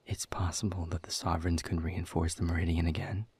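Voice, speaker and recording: Hopeless demon exile for audio drama, male actor: deep, very husky, grave and resigned with a sardonic edge. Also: little pitch movement, a little fast, a little mellow, full character voice. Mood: grave warning. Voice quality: husky, breathy, low. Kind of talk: intimate audio roleplay acting.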